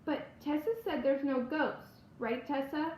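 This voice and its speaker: Woman acting creepy little boy, innocent-seeming, sly, storybook character, highish pitch, roomy echo. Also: fairly even intonation, a little slow, a little mellow. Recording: roomy echo, faint background noise.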